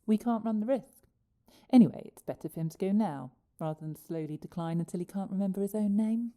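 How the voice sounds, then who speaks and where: Woman acting villainous matron, cold, commanding, very mellow dark voice, audio drama. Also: medium pitch, fairly quick, lightly acted. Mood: authoritative. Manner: callous, authoritative. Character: cold, menacing female care-home boss.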